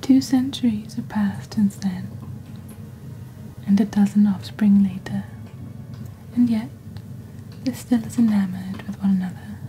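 A woman voicing a witch who presents magical wares in soft-spoken, breathy, deliberate ASMR style, close to the microphone. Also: medium pitch, fairly even intonation, a little hiss or hum, natural voice, low-quality recording. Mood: wistful storytelling. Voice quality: breathy, whispery. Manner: slow, deliberate, hushed storytelling. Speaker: ASMR performer as shopping channel presenter. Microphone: close desk microphone.

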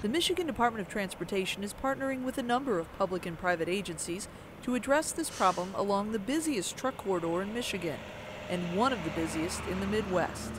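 A woman's voice: mid-range voice, expressive, noisy background. Woman narrating a public information film. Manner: measured, informative. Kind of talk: scripted voice-over narration.

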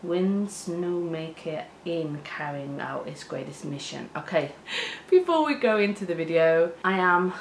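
Lady in her 40s doing a reaction vid from her living room, chatty, trips over words, kinda low voice, roomy echo. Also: lively intonation, a little slow, a little hiss or hum. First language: Spanish. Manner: chatty, stumbles over hard words, gestures a lot. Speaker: reaction channel host. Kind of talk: casual reaction chat. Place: living room with sofa and plants, indoors.

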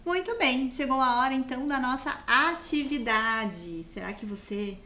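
A woman's voice: high-pitched, lively intonation, bright, forceful tone, echoey room. Encouraging Brazilian woman teaching on TV. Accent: Brazilian Portuguese. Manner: encouraging, asks viewers to repeat after her; speaks English phrases slowly and clearly. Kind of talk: educational TV lesson. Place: TV studio with screen behind.

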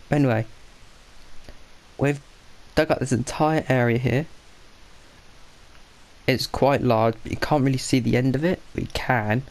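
A man with a stuffy, blocked nose talks his way through a game session in a loose, rambling way. He often stops mid-sentence to correct himself and mutters little asides to himself. His voice sits at an ordinary pitch and has a gravelly edge. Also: darkish tone. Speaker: gaming channel host. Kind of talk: casual gameplay commentary.

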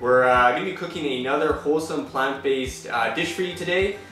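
A friendly man in his thirties from Canada co-hosts a cooking show. He hesitates often and fills his pauses with "uh". He sounds distant, with a strong room echo across a large kitchen. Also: medium pitch, fairly bright tone. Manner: friendly, hesitant with many "uh" fillers. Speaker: co-host. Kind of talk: casual cooking show presenting. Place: large home kitchen, indoors. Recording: roomy, distant sound with light music.

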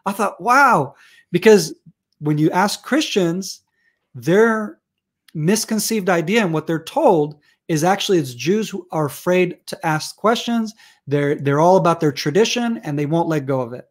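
A man's voice: high voice, animated, measured pace. A man in his thirties from Israel, a native Hebrew speaker with an American accent, arguing his point passionately with frequent restarts as a guest on a video call interview. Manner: passionate, argumentative, many restarts. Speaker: guest researcher. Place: room at home. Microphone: wired earphones on video call.

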